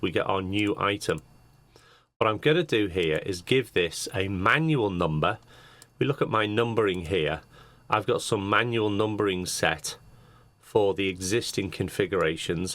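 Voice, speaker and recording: Low-voiced man demonstrating software step by step. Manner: methodical, explanatory, step-by-step. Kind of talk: screen-share tutorial narration, unscripted walkthrough. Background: computer keyboard typing.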